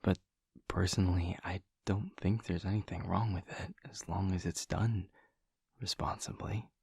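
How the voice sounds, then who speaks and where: A man playing a gentle, devoted vampire lover in an intimate audio roleplay, speaking in a breathy, husky hush with slow, halting, tender pauses, close to the microphone. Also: low-pitched, steady tone, dry recording, heavily acted. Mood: hushed, thoughtful. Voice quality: breathy, husky, often whispering. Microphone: close microphone, dry.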